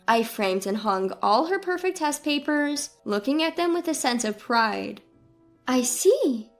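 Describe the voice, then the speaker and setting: A woman's voice: high-pitched, expressive, unhurried, soft background music. A woman reading a scripted first-person family story as its narrator.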